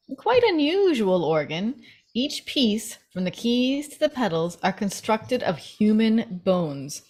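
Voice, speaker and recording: A woman reading stage directions as narrator in a scripted table read. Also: medium pitch.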